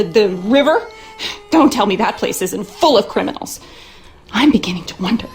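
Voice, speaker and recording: An anxious, demanding wife in a mystery game, her voice fairly high and swinging widely in pitch. She talks with distrust and indignation, as someone badly wronged. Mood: suspicious, demanding. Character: distraught, suspicious, demanding wife of a missing man. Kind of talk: video game character acting.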